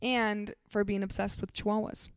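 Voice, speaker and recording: A woman's voice: mid-range voice, level tone, moderate pace, clean tone, no room sound, studio quality. A woman co-hosting a casual podcast, chatting in a bubbly, eager way as she tells stories. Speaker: podcast co-host. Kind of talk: casual chat.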